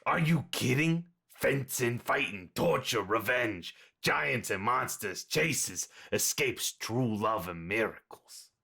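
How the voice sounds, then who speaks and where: A person voicing a gentle, wry old grandfather with a dry, sarcastic manner, in a table read. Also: medium pitch, lively intonation, takes it slowly, gravelly, slightly rough, exaggerated voice. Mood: enthusiastic. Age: older.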